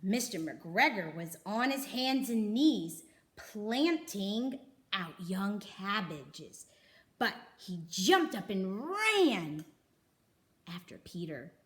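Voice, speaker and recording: A woman reads a children's storybook aloud at a slow, deliberate pace. Her pitch moves a great deal, and she leaves dramatic pauses for young listeners. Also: very animated. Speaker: storybook reader.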